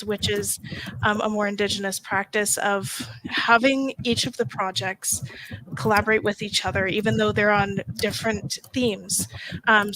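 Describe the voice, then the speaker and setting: A woman's voice: mid-range voice, fairly bright tone, slight background noise, rough recording. A woman on a discussion panel, explaining in a casual way with lots of fillers.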